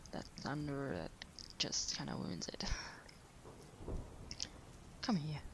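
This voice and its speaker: A woman playing a caring partner who is writing late at night, speaking in a gentle, hesitant, breathy half-whisper for an intimate sleep-aid audio roleplay. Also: on the low side, slight background noise, exaggerated voice, rough recording. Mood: soothing, concerned. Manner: gentle, hesitant, unhurried murmuring.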